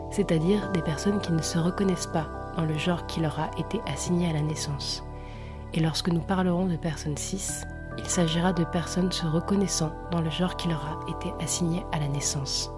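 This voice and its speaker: A woman with a fairly low voice co-hosts a community radio show. She reads a formal announcement and a content warning with care. Music runs clearly beneath her voice. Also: steady tone, normal speed. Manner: careful, formal reading. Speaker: radio co-host. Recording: music bed under the voice.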